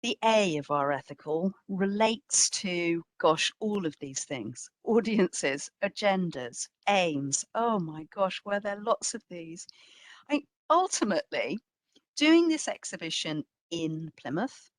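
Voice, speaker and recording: A woman's voice: fairly low pitch, expressive, takes it slowly, dry recording. A woman giving a public history talk as a curator, reflective and emphatic, often posing rhetorical questions. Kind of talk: public history lecture, semi-scripted.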